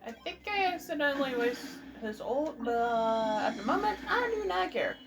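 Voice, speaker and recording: A woman's voice: high-pitched, animated, normal speed. A woman giving casual let's play commentary, with muttered, exasperated asides as she plays. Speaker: gamer, let's play host.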